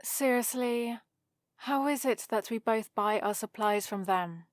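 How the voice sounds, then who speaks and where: Slow, sardonic vampire librarian played by a woman. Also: fairly high pitch, no echo, natural voice. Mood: bemused, sarcastic. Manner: dry, sardonic, theatrical. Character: haughty, sarcastic centuries-old female vampire librarian. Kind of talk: scripted ASMR roleplay acting.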